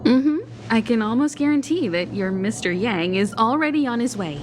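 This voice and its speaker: A woman's voice: on the high side, expressive, moderate pace, noisy background, natural voice. An actress playing a poised, decisive female space traveler in a video game cutscene. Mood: calm, resolute. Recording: loud music bed underneath.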